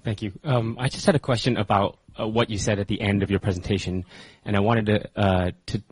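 A man with a low, husky voice asks a question from the audience. He hedges and restarts as he works his way to the point, and his voice sounds dry and close. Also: steady tone, a little fast, no room sound, low-quality recording. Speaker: audience member asking a question.

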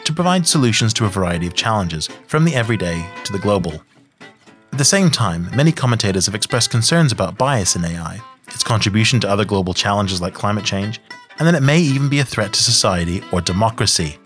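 A male podcast host with a fairly low voice. He talks quickly, moving through introductions and questions in a presenter's style, with music faintly present. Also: a bit bright. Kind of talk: scripted introduction and interview hosting.

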